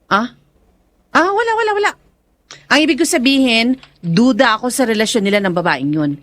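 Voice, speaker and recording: A woman playing a suspicious, impatient and sharp-tongued wife in a melodramatic radio drama. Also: fairly high pitch, expressive, normal speed, dry recording. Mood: suspicious, insistent.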